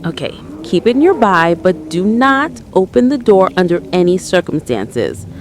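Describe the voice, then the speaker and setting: A woman playing a steady emergency dispatcher, giving firm, controlled instructions. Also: fairly low pitch, a little slow, a little hiss or hum. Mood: controlled, concerned. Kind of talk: audio drama acting.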